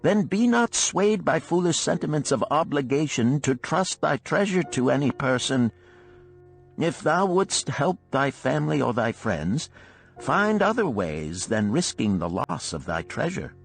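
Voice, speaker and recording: A man voicing a wise, cautious older gold lender of ancient Babylon in a storybook, solemn and deliberate in archaic speech. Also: medium pitch, big pitch swings, vocal fry, faint music behind. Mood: approving, cautioning. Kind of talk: storybook character reading.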